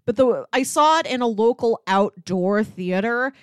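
A woman's voice: fairly high pitch, expressive, a little slow, smooth, dry recording, clean studio sound. A woman co-hosting a podcast, chatting casually in a conversational discussion style. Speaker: podcast co-host.

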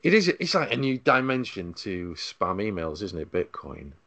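A man with a fairly low voice presents a tech podcast in a conversational, slightly wry style. The sound is dry and close, with little room around it. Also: sing-song, normal speed, fairly bright tone, dry recording. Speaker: podcast host.

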